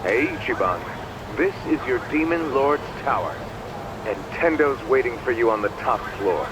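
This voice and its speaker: A man's voice: mid-range voice, expressive, moderate pace, background noise, lightly acted. A man voicing a composed, friendly ally in a crime drama video game. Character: Nick, composed friendly ally watching from afar. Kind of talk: video game cutscene acting.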